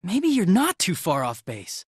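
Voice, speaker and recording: A man's voice: high voice, huge pitch swings, unhurried, slightly rough, no room sound, studio quality, full character voice. A man playing a hapless, casual young police detective in a video game, hesitant and easily flustered. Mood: intrigued. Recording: music under the dialogue.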